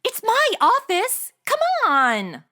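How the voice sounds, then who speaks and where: A woman's voice: extremely high pitch, huge pitch swings, slow pace, bright, forceful tone, full character voice. An actress playing a brash, clueless, celebrity-obsessed young woman, flippant and melodramatic in comedic audio drama banter. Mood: excitable, nosy.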